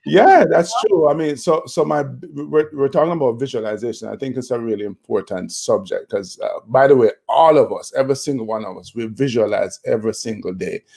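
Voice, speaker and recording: A Jamaican man in his fifties, a motivational speaker, telling his life story in a relaxed interview with many fillers and restarts. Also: medium pitch, brisk, vocal fry, few pauses, husky. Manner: reflective, with frequent fillers and restarts.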